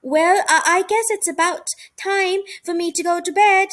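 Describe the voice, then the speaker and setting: A woman's voice: very high-pitched, fairly even intonation, moderate pace, fairly bright tone, voice pushed up. A woman voicing a young boy mouse in a children's storybook, eager and a little hesitant. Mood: sheepish. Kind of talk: children's storybook character reading.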